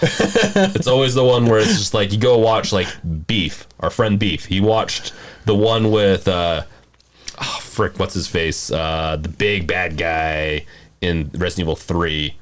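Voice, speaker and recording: A man's voice: low voice, measured pace. A man chatting casually as a podcast co-host, rambling and self-deprecating.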